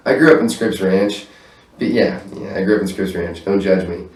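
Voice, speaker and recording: A man's voice: sits low, moderate pace, a little mellow. A man talking loosely in a casual interview, swearing freely and laughing often, in his own apartment room. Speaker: stage manager interviewed as guest. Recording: roomy echo, voice far from mic.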